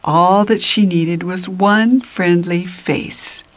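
An older woman reading a children's picture book aloud in an expressive, deliberate manner that drops into whispers, with little asides to the listeners. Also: lowish voice, no room sound. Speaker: children's storybook reader.

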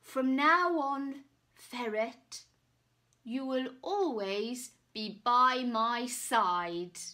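A woman voicing a bold, hearty male knight from a storybook, exclaiming with drawn-out emphasis. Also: on the high side, sharp tone, exaggerated voice. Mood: triumphant.

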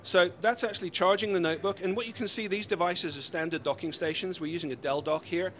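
An upbeat man in his forties with a slightly mixed British accent, pitching his company's gear in a lively, salesy way. His voice sits a little high for a man and comes through a handheld mic on a busy convention floor. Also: fast talker. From United Kingdom. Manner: upbeat, salesy, gesturing while explaining. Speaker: company representative. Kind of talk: trade-show interview. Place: busy convention hall booth. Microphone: handheld mic held by interviewer.

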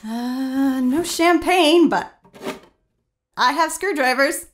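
A woman's voice: sits high, slow pace. A woman acting in an audio drama as a curious, headstrong, playful woman exploring a house. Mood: curious, breezy.

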